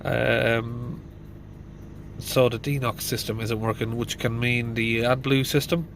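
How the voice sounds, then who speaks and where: A man's voice: medium pitch, level tone, slow pace, vocal fry, noisy background. A middle-aged man, a mechanic with a congested voice from hay fever, thinking aloud inside a van. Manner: plain, thinking aloud with fillers. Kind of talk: casual diagnostic walkthrough.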